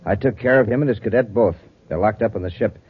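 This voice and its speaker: A man's voice: on the low side, fast talker, breathy edge. An actor playing a villainous saboteur and henchman in a vintage science fiction radio serial. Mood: furtive, scheming. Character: male villainous saboteur, scheming henchman to a female boss. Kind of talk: 1950s radio serial acting. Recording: muffled, hissy vintage radio recording.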